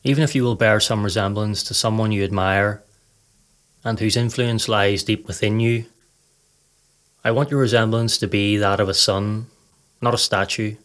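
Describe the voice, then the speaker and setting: A man hosting a podcast, reading a scripted guided meditation in a calm, measured, instructive way. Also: fairly low pitch.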